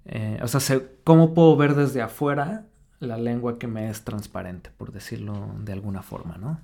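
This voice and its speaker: A man from Mexico with a Mexican Spanish accent, speaking as an artist in an interview, thinking aloud in a halting way with many fillers, restarts and tag questions. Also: medium pitch, vocal fry, mellow. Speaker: artist guest. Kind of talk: reflective interview talk.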